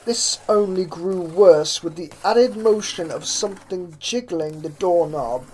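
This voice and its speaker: A woman reading a horror story aloud as a narrator, with deliberate, theatrical emphasis. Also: lowish voice, darkish tone, a little hiss or hum, low-quality recording. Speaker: narrator reading fan fiction.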